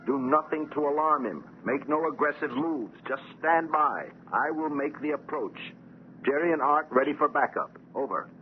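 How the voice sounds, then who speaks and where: An actor playing a driven, excitable policeman with clipped, commanding, intense delivery in an old-time radio drama. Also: a little high, moderate pace, narrow, tinny audio, lightly acted. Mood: commanding, controlled. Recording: thin, faintly noisy old radio broadcast.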